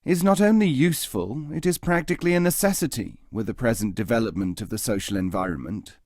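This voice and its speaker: A man's voice: mid-range voice, a little fast, darkish tone, dry recording, studio quality, close to an everyday voice. A man voicing a pompous, civilized eagle who speaks formally in a storybook reading. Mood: self-important. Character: civilized eagle, pompous advocate of self-improvement.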